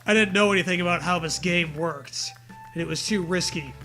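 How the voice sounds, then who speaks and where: A man's voice: high voice, a little fast, sharp tone, faint music behind. A man reading a story aloud in a comedic way, with mocking, profane casual riffing.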